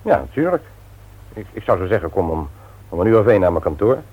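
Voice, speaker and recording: Casting: ambitious young husband, low-leaning voice, playfully pompous, vintage radio drama, dull sound. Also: male voice, big pitch swings, fast talker, husky, exaggerated voice. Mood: cheerful, proud. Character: eager, ambitious husband and company secretary, affectionately teasing. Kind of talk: 1960s Dutch radio serial acting.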